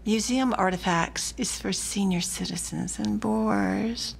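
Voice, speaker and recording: An actress playing an ambitious, scheming crime crew advisor in a video game, husky, breathy and pointed. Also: female voice, mid-range voice, slow, slight background noise. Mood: scornful. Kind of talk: video game cutscene acting.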